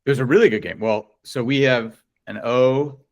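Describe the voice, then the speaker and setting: A man's voice: mid-range voice, moderate pace. A man of forty talking casually as a guest in an interview. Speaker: interview guest, coach and player. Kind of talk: casual chat.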